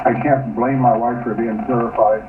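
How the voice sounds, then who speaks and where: A man's voice: mid-range voice, flat delivery, normal speed, far from the mic, narrow, tinny audio, background noise. A man giving his own account as an interviewee in a radio news clip.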